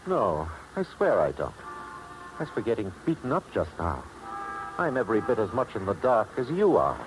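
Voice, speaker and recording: An actor playing a dazed, indignant gem buyer in a 1950s radio drama, halting as he protests. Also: on the low side, huge pitch swings, over loud music, poor audio. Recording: vintage radio, faint background noise.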